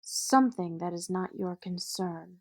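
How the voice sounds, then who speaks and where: A woman acting in an audio drama as a poised, protective, wealthy mother and executive, measured, polished and dryly witty. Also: on the low side. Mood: cool, commanding. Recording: music behind the voice.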